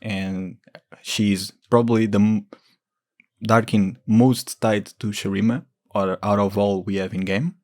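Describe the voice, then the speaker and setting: A man's voice: lowish voice, fairly even intonation, measured pace, darkish tone. A man giving informal, explanatory lore commentary as a gaming video creator.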